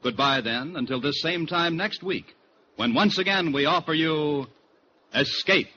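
A man's voice: fairly high pitch, animated, a little slow. A man with an American accent reading scripted announcements in 1940s network radio style. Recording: lo-fi 1940s radio, faint noise.

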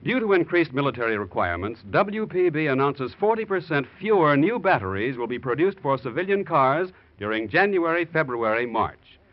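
Animated American man announcing on vintage radio. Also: fairly high pitch, moderate pace, hardly any pauses. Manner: emphatic, polished sales delivery. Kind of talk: 1940s radio announcing, scripted. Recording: muffled 1940s radio recording.

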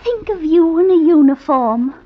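An actress playing a coaxing, scheming wife with wheedling, theatrical sweetness in an old-time radio drama. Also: female voice, very high voice, lively intonation, moderate pace. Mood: coaxing, dreamy. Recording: noisy, dull old radio recording.

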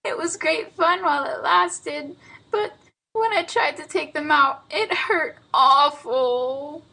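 A woman's voice: a little high, a little slow, fairly bright tone, slightly rough. A woman voicing a mischievous, earnest little boy in a storybook, with childish slang and plaintive reasoning. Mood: sobbing, plaintive. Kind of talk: storybook character dialogue.

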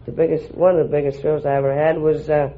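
A man's voice: a little high, flat delivery, quick pace. A young man answering deferentially and a little hesitantly as a contestant on a classic radio quiz show. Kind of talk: polite answers in a radio interview. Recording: dull vintage radio recording. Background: studio audience.

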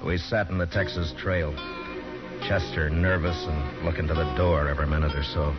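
An actor playing a steady frontier marshal who narrates in a measured, grave first person in a 1950s radio drama. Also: male voice, a bass voice. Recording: dull 1950s radio broadcast with music.

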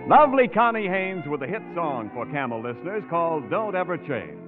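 A man's voice: on the high side, big pitch swings, brisk, phone-quality sound. A man announcing in a polished, emphatic 1940s radio advertising style. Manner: polished, emphatic sales delivery. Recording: thin 1940s radio recording, faint music.

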